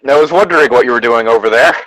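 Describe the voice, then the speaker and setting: A man's voice: medium pitch, very fast, fairly bright tone, dry recording, poor audio. A man co-hosting a comedic fan fiction reading, moving between narration and sardonic, incredulous casual banter.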